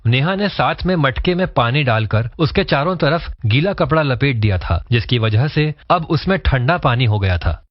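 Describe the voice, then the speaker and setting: A man's voice: mid-range voice, lively intonation, normal speed, no room sound. A man reading scripted narration for a cartoon story, in a dull lo-fi recording. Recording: dull lo-fi, dry sound.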